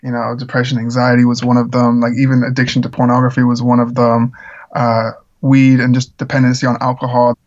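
A man's voice: medium pitch, completely flat delivery, fairly quick, highs cut off. A man who grew up in the Czech Republic, a musician guest talking earnestly in a casual interview, with lots of fillers. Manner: earnest, rambling with frequent fillers.

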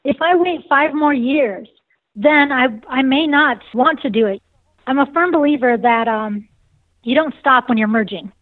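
A woman's voice: sits high, a little slow, dry recording. A woman in her forties with a nasal voice, a singer and saxophonist answering interview questions in a bubbly way and laughing often. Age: 40s. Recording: dry, tinny phone line.

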